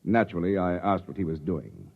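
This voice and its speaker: An actor playing a cautious, self-justifying middle-aged American executive, formal and blunt, in 1950s radio drama style. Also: male voice, low-pitched, sing-song, fairly quick, gravelly, husky, heavily acted. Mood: formal, defensive. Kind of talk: 1950s radio drama acting, part testimony, part dialogue. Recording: dull vintage 1950s radio recording.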